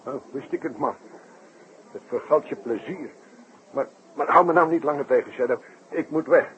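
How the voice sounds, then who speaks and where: Actor as excitable circus man, muffled old radio. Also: mid-range voice, moderate pace, close to an everyday voice. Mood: worried, agitated. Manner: emphatic, chummy, exclamatory. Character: Flippy, excitable, worried male circus performer. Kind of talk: 1960s Dutch radio drama acting. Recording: muffled, noisy 1960s radio recording.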